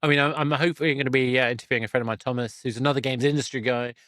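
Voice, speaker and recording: A man hosting a conversational podcast interview, talking in a halting, wordy, enthusiastic way. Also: mid-range voice, steady tone, fairly bright tone, no room sound, studio quality. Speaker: podcast host.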